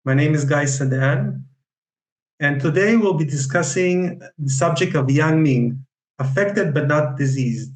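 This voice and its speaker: A man with an Israeli accent giving an online lecture on Chinese medicine, speaking haltingly with many fillers and restarts. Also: a little high, roomy sound, rough recording. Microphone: video call.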